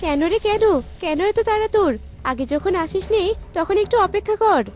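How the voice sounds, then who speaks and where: A woman's voice: very high-pitched, normal speed. A woman voicing a mature village neighbour in a cartoon, indignant and remonstrating in an exclaiming way. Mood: reproachful. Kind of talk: cartoon voice acting. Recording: music behind the voice.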